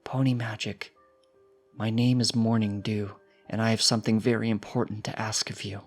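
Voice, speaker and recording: A small, gentle female pony voiced by a man from a fantasy storybook. She speaks in an earnest, pleading way, with light music behind. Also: on the low side, level tone, moderate pace.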